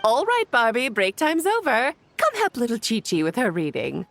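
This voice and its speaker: A woman's voice: a little high, huge pitch swings, moderate pace, fairly bright tone, slight background noise, full character voice. A woman voicing a breezy, playful witch auntie in a video game, coaxing sweetly and theatrically as if to a child.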